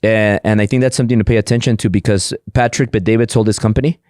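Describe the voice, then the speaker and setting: Middle-aged man, first language Spanish, as an eager interview guest: lowish voice, lots of restarts, close and dry. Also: steady tone, quick pace, a little mellow, no room sound. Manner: eager, many repeated words and restarts. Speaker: podcast guest, entrepreneur. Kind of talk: casual interview talk.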